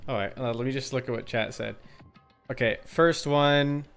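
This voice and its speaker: A man's voice: mid-range voice, fairly even intonation, normal speed, vocal fry, fairly bright tone, clean tone. A man streaming a game and chatting with viewers in a rambling, informal, sweary way. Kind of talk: casual chat with viewers. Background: music.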